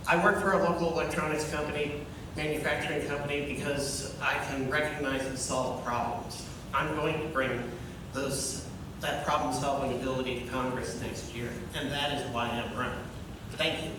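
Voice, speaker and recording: A man from California, a candidate giving a measured debate opening that stresses numbers with hesitant pauses. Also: fairly high pitch, fairly even intonation. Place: debate hall. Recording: echoey hall from far off, noisy.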